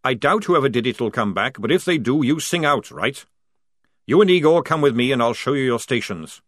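A man reading an audiobook, voicing a gruff, bossy army sergeant who barks orders loudly. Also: medium pitch, animated, moderate pace, no echo, clean studio sound, voice pushed up. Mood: commanding. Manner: barking, commanding.

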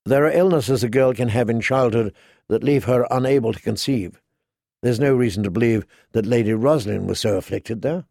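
A man reads as a sober noblewoman in a fantasy audiobook. The speech is measured and formal, with some gravelly creak and no room sound. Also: medium pitch, mellow, no echo, clean studio sound. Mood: reluctant. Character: composed, sober noblewoman, older sister. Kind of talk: audiobook character dialogue.

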